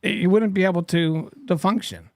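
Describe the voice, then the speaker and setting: A man's voice: high voice, moderate pace, darkish tone, dry recording, clean studio sound. A man in his fifties co-hosting a casual podcast, joking and teasing his co-host in rambling banter.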